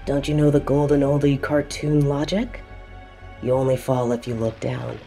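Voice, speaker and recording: A woman playing a quick-witted, sarcastic reporter in an audio drama, delivering wry quips as an unfazed captive. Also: very deep voice, flat delivery, gravelly, warm tone, faint music behind. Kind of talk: scripted audio roleplay dialogue.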